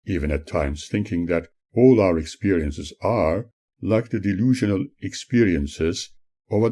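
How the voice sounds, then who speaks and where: A man narrating his own spiritual audiobook as its author, in an earnest, preachy manner with measured phrasing and a husky voice. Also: low-pitched, warm tone. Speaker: author reading own book.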